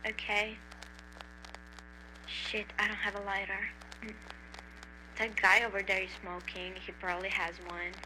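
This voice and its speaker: Woman as awkward young male horror-cartoon character, slow, hesitant, deadpan, sharp bright tone, faint hum. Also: medium pitch, rough recording. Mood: flat. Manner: hesitant, deadpan, mumbling with many pauses. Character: aimless, awkward, foul-mouthed young male named Angel. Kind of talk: indie animated analog horror dialogue.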